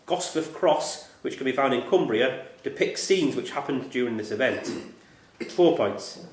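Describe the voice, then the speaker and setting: A male quizmaster reads quiz questions aloud in a club room. His voice is mid-pitched and carries a clear room echo.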